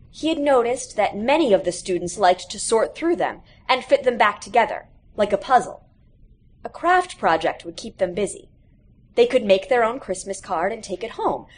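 A woman narrates a short story from a script. Her delivery is expressive and animated, with pitch that moves widely through the sentences. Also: slightly rough. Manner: animated, acts out quoted characters.

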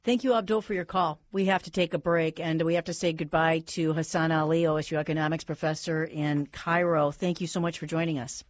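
Talk host: brisk, mid pitch, dry studio sound, slightly dull. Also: level tone, dry recording. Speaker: radio talk show host. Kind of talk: call-in talk show hosting.

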